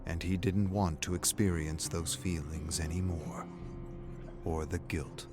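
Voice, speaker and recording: A man's voice: low voice, steady tone, unhurried, over loud music. A man reading scripted, dramatic story narration in the manner of an audiobook.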